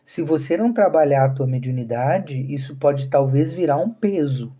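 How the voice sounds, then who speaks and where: A man's voice: on the high side, lively intonation, moderate pace, mellow, slightly rough, muffled recording. A man, talking earnestly to viewers on a live stream and asking rhetorical questions. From southern Brazil. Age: 30s. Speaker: spiritual channel host.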